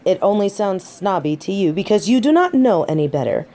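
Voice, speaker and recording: A woman's voice: mid-range voice, very animated, fairly quick, warm tone. A woman playing a snobby, centuries-old fallen angel in denial, speaking in formal, pompous phrasing in a scripted audio roleplay. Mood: offended, condescending. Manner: formal, precise, pompous diction. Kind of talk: scripted character roleplay monologue.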